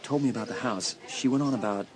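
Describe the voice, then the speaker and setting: A man's voice: medium pitch, quick pace, a crowd in the background, close to an everyday voice, low-quality recording. An actor playing a concerned, reassuring man in a horror audio drama.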